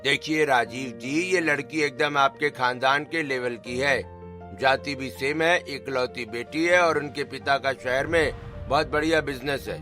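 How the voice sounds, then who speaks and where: A man's voice: medium pitch, a little slow, bright, forceful tone, soft background music. A man voicing a persuasive cartoon matchmaker, emphatic and salesman-like. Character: persuasive male matchmaker selling a bride's family. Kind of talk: animated cartoon story acting.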